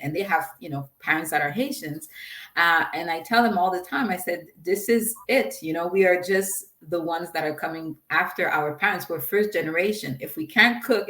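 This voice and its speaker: Canadian woman guest, laughs a lot, lowish voice, roomy echo. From Quebec, Canada. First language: French. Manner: laughs often, warm and chatty. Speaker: singer-songwriter guest. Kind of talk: casual interview chat. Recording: echoey room.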